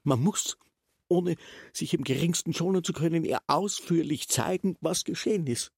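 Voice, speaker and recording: An actor playing a weary, long-winded castle official in a radio play, husky and breathy, halting and ruminative but insistent. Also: male voice, a little high, a little mellow, no room sound, studio quality. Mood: fervent insistence. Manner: halting, ruminative, builds long elaborate sentences. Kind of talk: German literary radio play acting.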